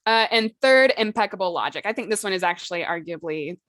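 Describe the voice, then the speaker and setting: Female podcast host, informal and conversational, middle pitch, recorded very dry. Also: animated, fast, a bit bright, no echo. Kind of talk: casual discussion of writing craft.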